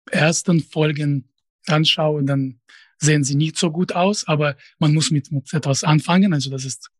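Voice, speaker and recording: A man's voice: fairly high pitch, no echo. A man in his late thirties with a Russian accent, a professor answering interview questions in a hesitant, direct way. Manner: hesitant, restarts phrases, direct. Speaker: professor and YouTuber, interview guest.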